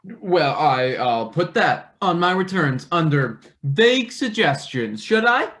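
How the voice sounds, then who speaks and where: A man's voice: a little high, very animated, takes it slowly, fairly bright tone, full character voice. A man playing a cheeky, indignant young intern in a satirical play reading, sarcastic and protesting. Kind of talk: live table read of satirical stage play.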